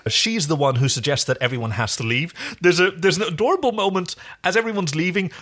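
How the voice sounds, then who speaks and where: A man's voice: a little high, fast talker, a bit bright. A man co-hosting a podcast, casually recapping a TV episode in a playful way with trailing asides. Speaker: podcast co-host.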